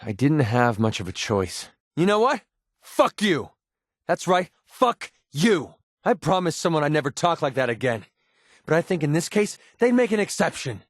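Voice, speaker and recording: An actor playing a guarded, wounded mercenary in a video game, sarcastic and quick-tempered but loyal, speaking in a plain, earnest, edgy way. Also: male voice, on the high side, very animated, no room sound, clean studio sound. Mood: hurt, angry. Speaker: companion character in a video game. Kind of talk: naturalistic video game voice acting.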